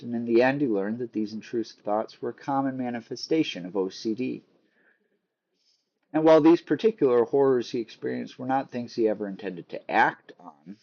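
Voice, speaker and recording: A man narrating a book in a measured storytelling way, with dry humor. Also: medium pitch, vocal fry, warm tone, muffled recording. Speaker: author reading a book aloud. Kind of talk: scripted narration, book read-aloud.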